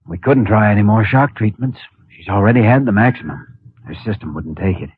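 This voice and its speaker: An actor playing an earnest, steady young doctor in a 1950s radio drama. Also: male voice, on the low side, a little fast, slightly rough, no room sound. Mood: explaining. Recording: tinny lo-fi 1950 radio broadcast.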